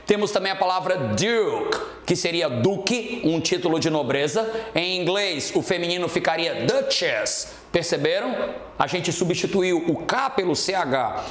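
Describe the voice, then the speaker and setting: A man's voice: sits high, expressive, unhurried, a bit bright. A Brazilian man teaching a grammar lesson as an English teacher, explaining didactically and checking that students follow. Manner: didactic, checks understanding often. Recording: roomy, echoing room sound.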